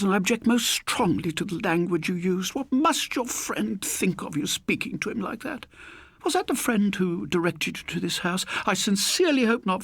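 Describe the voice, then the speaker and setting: An elderly, genteel landlady, acted out by a male narrator, speaks in a high, swooping voice. She is prim and fussy, a storybook spinster who scolds like the mistress of the house. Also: a bit bright, husky, dry recording, full character voice. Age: older. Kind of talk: audiobook character voice.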